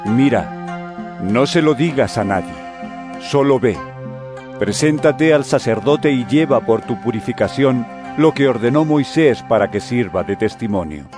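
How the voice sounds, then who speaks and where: A man's voice: medium pitch, expressive, lightly acted. Man playing commanding Jesus over music. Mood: firm, assured. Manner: firm, deliberate commands. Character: Jesus, commanding and authoritative holy teacher. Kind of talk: audio drama Bible acting. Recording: music behind the voice.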